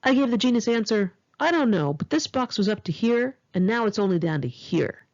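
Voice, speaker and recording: A Californian American woman reading her own memoir aloud in a candid, expressive, conversational way, with a husky, creaky voice. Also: mid-range voice, brisk, muffled recording. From Los Angeles area, California, United States. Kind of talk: narrated memoir audiobook, one-take home reading.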